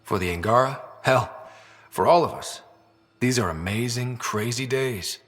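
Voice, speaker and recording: A man's voice: mid-range voice, measured pace, fairly bright tone, natural voice. A man playing an earnest, frank space explorer in a video game, speaking directly and conversationally. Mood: engaged. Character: earnest, frank young male space explorer leading a crew. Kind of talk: video game dialogue acting. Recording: music under the voice.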